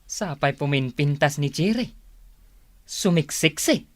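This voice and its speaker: A man's voice: a little high, lively intonation, unhurried, fairly bright tone, no echo. A man playing an eager, curious friend in a Filipino radio comedy-drama, with a Filipino accent. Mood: eager, teasing.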